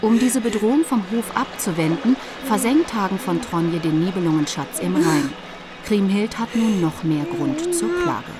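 A woman giving measured, formal scripted narration for a radio drama. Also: mid-range voice, sing-song.

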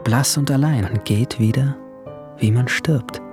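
A man's voice: mid-range voice, a little slow, music in the background, close to an everyday voice. A man playing a withdrawn, brooding 17-year-old boy who narrates his summer in a radio drama, introspective and terse. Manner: introspective, terse, awkward in dialogue. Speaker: narrator and main character.

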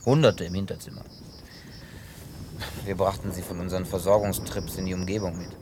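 An actor dubbing a man who tells a long story in a laid-back way with gruff humor. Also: sits low, flat delivery, brisk, background noise. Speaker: lead character in a dubbed film. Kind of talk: dubbed film acting.

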